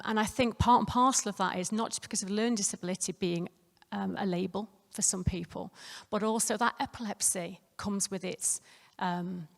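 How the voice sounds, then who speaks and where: Croaky nurse lecturing in a hall. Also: female voice, mid-range voice. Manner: conversational, many fillers and restarts. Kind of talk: conference talk. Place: hotel conference room.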